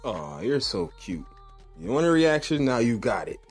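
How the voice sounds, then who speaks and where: A man acting a possessive, mocking, menacing boyfriend with a rough voice in an audio drama. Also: mid-range voice, very animated, normal speed, natural voice. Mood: angry, threatening. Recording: music behind the voice.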